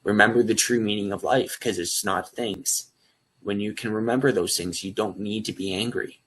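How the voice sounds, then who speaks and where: A man's voice: fairly low pitch, fairly even intonation, moderate pace, fairly bright tone, husky, low-quality recording. A young man speaking as a guest over a video call, talking earnestly and at length about offering life coaching. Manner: earnest and rambling, with restarts. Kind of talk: earnest promotional talk.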